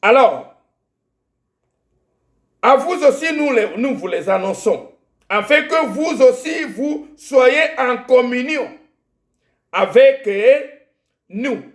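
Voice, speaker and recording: A man from Togo, whose first language is Ewe, preaching a sermon in French with a Togolese accent, slow and halting, repeating words as he searches for them. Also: extremely high pitch, expressive, reverberant. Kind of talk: sermon with Bible reading.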